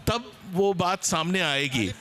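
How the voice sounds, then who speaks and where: A man speaking as a seminar panelist, explaining at length with some hesitant pauses. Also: high voice, lively intonation, crowd noise. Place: book fair seminar with a live panel.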